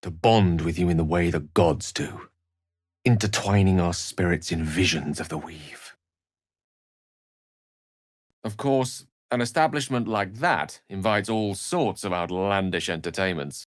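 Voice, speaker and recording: An actor with a British accent voicing a learned, proud, romantic wizard in a video game, with eloquent, slightly pompous phrasing. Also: male voice, sits low, measured pace, dry recording, studio quality. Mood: soft, tender. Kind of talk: video game character voice lines.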